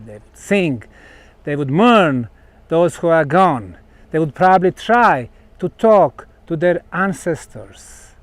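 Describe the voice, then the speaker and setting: A man gives an explanatory talk as a researcher on location, speaking deliberately in short phrases with pauses. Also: on the high side. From Bosnia. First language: Bosnian. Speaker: researcher presenting a site.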